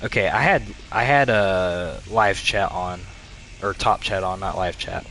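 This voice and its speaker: A man with a stuffy, congested voice, livestreaming a video game with casual, halting commentary and replies to chat. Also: low-pitched, creaky, pushed and bright, a little hiss or hum. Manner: halting, reading and answering chat aloud. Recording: game music behind the voice. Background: video game music.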